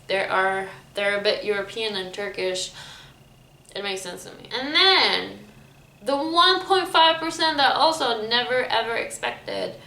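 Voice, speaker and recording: Bright-voiced woman chatting casually, roomy sound. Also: medium pitch. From Lebanon. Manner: informal, hedging, thinking aloud. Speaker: vlogger. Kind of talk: casual rambling chat. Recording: roomy echo, faint background noise.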